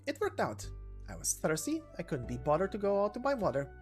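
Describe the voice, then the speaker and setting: A man voicing a lively, teasing young female knight in a game story reading, with a bouncy, playful, breezy manner. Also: high-pitched, brisk, faint music behind, pitched above the performer's own voice.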